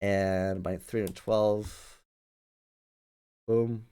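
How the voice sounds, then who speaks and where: A man's voice: on the low side, steady tone, drawn-out words, mellow, clean tone. A man hosting a livestream with casual live commentary, speaking in repetitive, stop-and-start phrases and often addressing his listeners directly. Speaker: livestream host.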